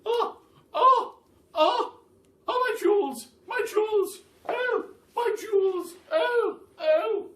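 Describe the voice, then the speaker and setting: A man's voice: very high-pitched, slow, a bit bright, voice pushed up, full character voice. A man voicing a melodramatic, wailing Duchess in a children's storybook, shrieking and overwrought. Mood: swooning. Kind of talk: children's storybook read aloud. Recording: echoey room, distant mic.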